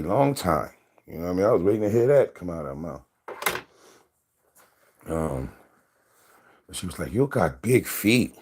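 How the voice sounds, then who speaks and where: A man in his thirties with a deep voice, telling loose, rambling stories to his camera as a casual vlog and laughing often. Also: darkish tone, slightly rough. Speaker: vlogger.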